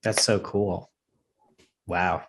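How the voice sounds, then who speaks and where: A man's voice: deep voice, steady tone, extremely slow. A man in his thirties hosting an interview, asking chatty, enthusiastic questions at a desk mic. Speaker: podcast host. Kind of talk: casual interview. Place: indoor home room. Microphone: desk microphone on video call.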